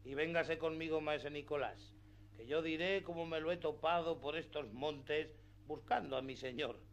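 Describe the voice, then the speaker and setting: An actor playing a loyal, eager peasant squire in a radio play, speaking in a folksy, deferential, reassuring way. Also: male voice, a little high, fairly even intonation, moderate pace, highs cut off, close to an everyday voice.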